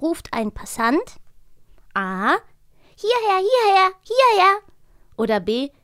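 A woman voicing a cute little ghost in a theatrical, drawn-out manner, as if calling out. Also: very high-pitched, sing-song, a bit bright, dry recording, clean studio sound, voice pushed up, full character voice. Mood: eerie, playful.

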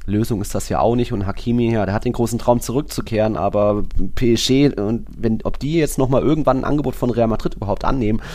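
A man's voice: mid-range voice, fast, few pauses, warm tone. A man co-hosting a football podcast, analysing informally and thinking aloud in casual talk.

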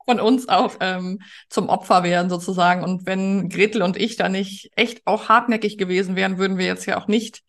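A woman's voice: medium pitch, fairly even intonation, a little fast, few pauses. A woman hosting a podcast interview in a chatty, conversational way, speaking directly to her guest and listeners.

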